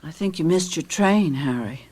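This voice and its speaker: An actress playing an anxious suburban housewife in a radio drama, earnest and pleading. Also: female voice, low voice, lively intonation, moderate pace, background noise. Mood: hesitant, mild.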